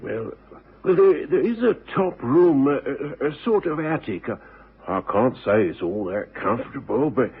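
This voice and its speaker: An actor playing a kindly, worried older English boarding house keeper who stammers hesitantly in a radio drama. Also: male voice, mid-range voice, animated, measured pace, breathy edge, exaggerated voice. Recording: tinny old radio broadcast.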